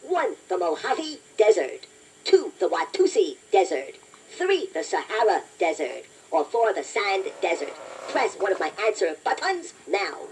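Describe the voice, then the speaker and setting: A woman voicing a chatty, playful toy robot that hosts a children's quiz, encouraging the listener in a deliberate, self-deprecating way. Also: mid-range voice, very animated. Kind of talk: scripted children's quiz narration. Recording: noisy toy cassette playback, distant from mic.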